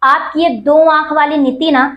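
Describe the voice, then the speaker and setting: Female news commentator, high-pitched, accusatory and rhetorical delivery, reverberant sound. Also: steady tone, normal speed, lo-fi sound. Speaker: news reporter and presenter. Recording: roomy echo on the voice.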